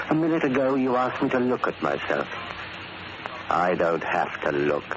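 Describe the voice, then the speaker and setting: Low-voiced, bitter ex-convict. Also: male voice. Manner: brooding, dramatic. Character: weary, bitter middle-aged released convict. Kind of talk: 1940s radio acting. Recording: noisy, muffled old radio recording.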